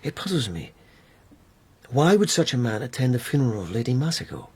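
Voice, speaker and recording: An actor playing a male court investigator in a radio drama, probing and mannerly but goading, with measured questioning. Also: mid-range voice, big pitch swings, darkish tone, breathy edge. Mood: controlled, probing. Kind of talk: BBC radio drama acting.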